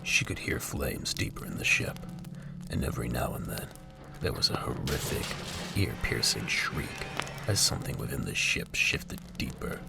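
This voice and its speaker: A very deep-voiced man delivers dramatic scripted narration. His voice is thick with gravel and swings widely in pitch as he tells the story. Music plays clearly underneath. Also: animated, moderate pace.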